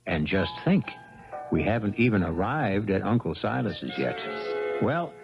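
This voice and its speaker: A man's voice: on the low side, big pitch swings, unhurried, gravelly, music in the background. A man hosting a 1970s radio mystery series with dramatic, wry narration. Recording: muffled vintage radio broadcast.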